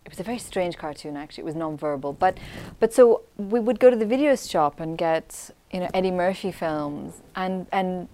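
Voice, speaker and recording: A woman who grew up in Syria, answering as an interview guest in an articulate, measured, reflective way. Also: on the low side, dark tone. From Syria, grew up in Damascus. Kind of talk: conversational commentary on culture.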